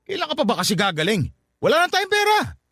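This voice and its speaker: A man's voice: very high voice, wildly swooping pitch, fast, pushed and bright, no room sound, clean studio sound, exaggerated voice. A man playing a callous, scornful middle-aged husband in a radio drama, dismissive and impatient, with a Filipino accent. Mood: impatient, blaming. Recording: faint music bed behind voice.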